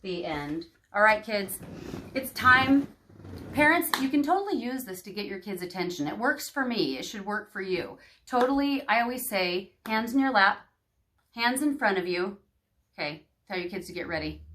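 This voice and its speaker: A woman storyteller reading a picture book aloud to children in an expressive, dramatic and emphatic way. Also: mid-range voice, normal speed, a little hiss or hum.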